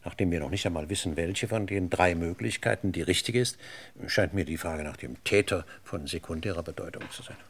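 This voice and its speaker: Casting: radio-drama inspector, low creaky voice, dry, courteous, needling. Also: male voice. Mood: probing, dry. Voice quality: gravelly, husky. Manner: formally polite, deliberate, needling questions. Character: probing, courteous male police inspector, dryly persistent. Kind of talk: German radio crime drama acting.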